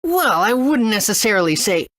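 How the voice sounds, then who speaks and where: A man's voice: sits high, sing-song, moderate pace, fairly bright tone. Guy doing a young boy game hero, eager n earnest, sounds full of wonder. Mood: thoughtful. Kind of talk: English video game voice acting.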